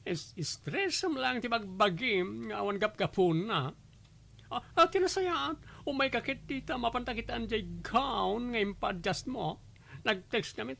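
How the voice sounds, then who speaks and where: A woman's voice: fairly high pitch, gravelly, fairly bright tone, exaggerated voice. A woman playing a chatty, bossy, loyal best friend in a radio drama. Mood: breezy, teasing.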